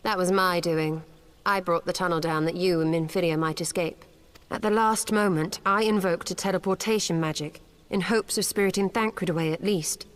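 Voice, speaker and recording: Actress as low-voiced, composed scholarly sorceress. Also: female voice, steady tone, close to an everyday voice. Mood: calm, reflective. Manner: formal, archaic, measured speech. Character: composed, scholarly adult female mage, gracious and formal. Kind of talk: fantasy video game voice acting.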